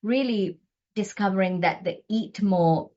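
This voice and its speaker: A woman's voice: mid-range voice, unhurried, a little mellow, highs cut off. Earnest middle-aged woman from Sri Lanka. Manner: earnest, talks with many restarts. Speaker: GP, guest. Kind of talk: podcast interview.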